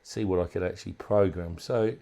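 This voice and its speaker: Male hobbyist demonstrator, lowish gravelly voice, informal and unscripted, feels his way through sentences. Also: fairly even intonation, mellow. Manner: halting, thinking aloud. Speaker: hobbyist presenter demonstrating a modified radio. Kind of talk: casual unscripted product walkthrough.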